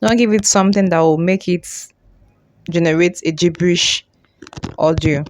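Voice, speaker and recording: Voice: medium pitch, fairly even intonation, normal speed, slight background noise. Tutorial host, mid-range voice, informal step-by-step explaining, rephrases often, music playing behind.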